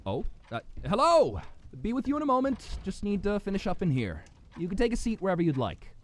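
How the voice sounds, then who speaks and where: A man's voice: on the low side, big pitch swings, moderate pace, fairly bright tone. A man playing a tired, courteous innkeeper in a fantasy audio drama, flustered and stammering. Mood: flustered, welcoming.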